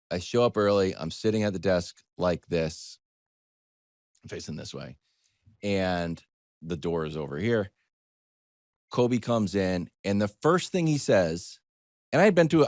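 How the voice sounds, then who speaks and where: An American man giving conversational sports talk as a commentator, animated, digressive and self-deprecating as he tells stories. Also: on the low side, no echo, clean studio sound.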